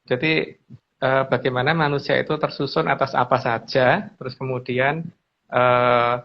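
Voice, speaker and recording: Gravelly Javanese-accented man on a muffled call. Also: mid-range voice, level tone, normal speed. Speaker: regular guest speaker explaining lore. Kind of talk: casual explanatory talk. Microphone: online video call. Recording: dull, muffled call audio.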